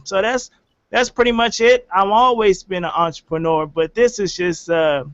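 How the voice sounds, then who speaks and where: A man's voice: high-pitched, a bit bright, no echo, highs cut off. A man introducing himself on a casual online group call in a laid-back, informal and friendly way. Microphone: computer mic on a video call.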